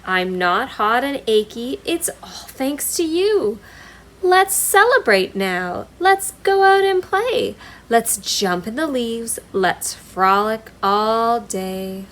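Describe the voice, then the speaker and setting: A woman's voice: on the high side, expressive, slow pace, a bit bright. A woman reading the part of a kind, cheerful storybook bear in a singsong way for small children. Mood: joyful.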